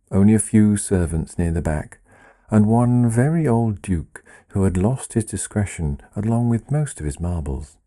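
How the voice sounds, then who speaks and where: Male narrator for literary fiction: very low pitch, unhurried, wry and deliberate. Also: mellow. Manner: measured, deliberate, wry delivery. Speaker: author reading own story. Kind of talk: scripted literary storytelling narration.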